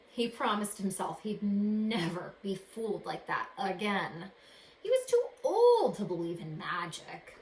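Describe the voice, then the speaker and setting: A woman teacher reading a storybook aloud to children in an expressive way. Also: medium pitch. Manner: expressive, addresses young listeners with questions. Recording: echoey room with faint background noise.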